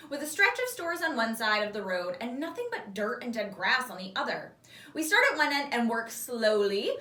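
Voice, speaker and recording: Animated woman reading a storybook, roomy sound. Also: fairly high pitch. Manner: animated, acts out the dialogue. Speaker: fifth grade teacher reading aloud. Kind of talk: expressive children's storybook read-aloud. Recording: roomy echo, distant microphone.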